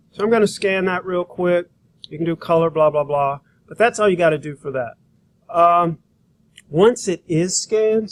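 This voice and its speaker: A man giving an informal, hands-on software demonstration as an instructor, talking in a casual, rambling, folksy way. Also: a little high, poor audio. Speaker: graphic design instructor. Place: school computer lab.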